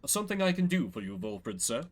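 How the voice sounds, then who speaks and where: A man puts on a loyal minstrel from a fantasy game. He is courtly and deferential, speaking politely and formally with a lively, moving pitch. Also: fairly quick. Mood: respectful.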